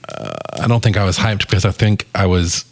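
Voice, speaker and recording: A man's voice: low-pitched, steady tone, brisk, creaky. A man co-hosting a casual film review podcast, reacting with wry, sweary quips. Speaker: podcast co-host.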